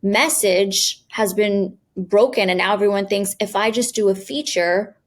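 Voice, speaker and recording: A woman's voice: medium pitch, a little slow. A woman from New York, a PR agency owner speaking as an interview guest, confident and direct as she explains at length. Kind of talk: interview answers.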